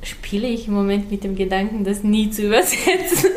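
A woman's voice: medium pitch, animated, a little fast, a little mellow, roomy sound, a little hiss or hum. A woman whose first language is Serbian, a novelist guest speaking in a hesitant, thoughtful way with many fillers in a casual conversation.

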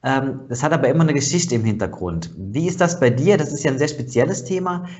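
A man's voice: mid-range voice, animated, fast talker, a little mellow, lo-fi sound. A man hosting a podcast, asking chatty conversational questions with fillers. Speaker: podcast host. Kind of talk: podcast interview.

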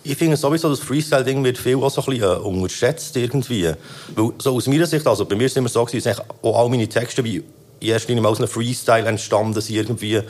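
A man's voice: mid-range voice, very fast, darkish tone. A man with a Swiss German accent hosting a casual interview and chatting loosely with many fillers. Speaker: podcast host interviewing a rapper.

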